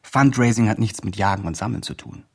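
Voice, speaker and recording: A man's voice: lowish voice, fairly quick, creaky. An actor playing an earnest, upbeat young fundraising campaigner who narrates his progress in an eager, self-important way. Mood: earnest, pleased. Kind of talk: scripted campaign diary narration.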